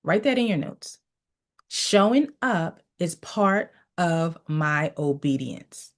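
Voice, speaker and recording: Low-pitched preachy woman coaching. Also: animated, slow pace. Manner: preachy, repeats phrases, tells listeners to write things down. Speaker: mindset coach. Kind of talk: motivational teaching session.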